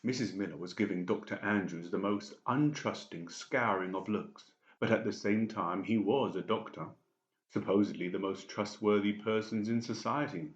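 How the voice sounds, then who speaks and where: Low-voiced male narrator, echoey room. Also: moderate pace. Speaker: audiobook narrator. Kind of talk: dramatic scripted narration. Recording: dull, echoey room recording.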